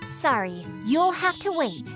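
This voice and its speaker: An actress playing a caring teenage older sister in a game, motherly and gently firm. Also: high-pitched, very animated, slow, loud music behind the voice, heavily acted. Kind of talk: video game acting.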